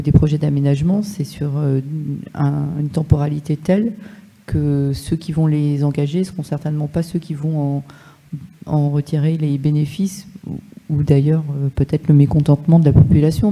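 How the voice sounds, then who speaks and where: Discussion participant with a very dark, relaxed timbre, measured and hesitant in delivery. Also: medium pitch. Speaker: discussion participant putting a question. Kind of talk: spontaneous question at a public discussion.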